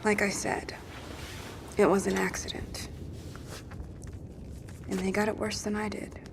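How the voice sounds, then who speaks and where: A stubborn, wounded survivor in an audio drama. She talks bluntly and tersely at a middle pitch. The sound has long reverb and faint noise. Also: level tone, measured pace, gravelly. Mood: dry.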